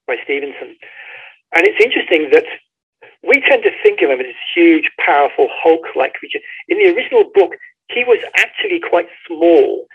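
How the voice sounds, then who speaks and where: Higher-pitched husky man on a lo-fi line. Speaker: author, interview guest. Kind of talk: conversational book interview. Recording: dull, lo-fi phone-like line.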